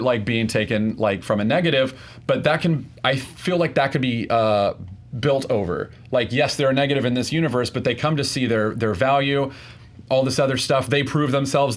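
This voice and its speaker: A man debating pop culture informally as a contestant, earnest and halting, often acting out imagined reactions. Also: medium pitch. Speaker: debate contestant on a movie debate show.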